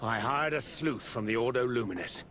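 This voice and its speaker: A man's voice: medium pitch, animated, moderate pace, a bit bright, close to an everyday voice. An actor playing a stern, pious, middle-aged father in a video game, haughty and bitter in his formal speech. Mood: indignant, bitter. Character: stern, pious, scornful father of grown daughter. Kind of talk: video game cutscene dialogue.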